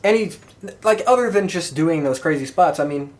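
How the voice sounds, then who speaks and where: A man hosting a podcast in casual conversation, with a rambling, halting delivery. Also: fairly high pitch, animated. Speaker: podcast host. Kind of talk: casual chat.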